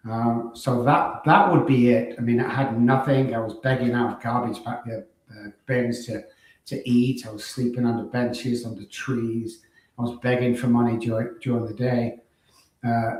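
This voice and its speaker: A middle-aged man with a Manchester accent, a guest on a live online talk show, speaking briskly in lists with frequent fillers. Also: mid-range voice, a little mellow. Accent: Manchester English. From Manchester, England. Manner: brisk, listing, frequent uh fillers and throat clearing. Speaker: guest, addiction doctor. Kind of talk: casual live-stream interview. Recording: very echoey room.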